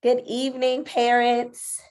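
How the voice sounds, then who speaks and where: A woman's voice: fairly high pitch, completely flat delivery, slow, darkish tone. A woman with blocked sinuses, her voice stuffy and nasal. She leads an online meeting for parents, friendly and full of ums as she goes. She speaks into a computer mic on a video call. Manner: friendly, filler-heavy with frequent ums. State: congested, nasal. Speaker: school principal.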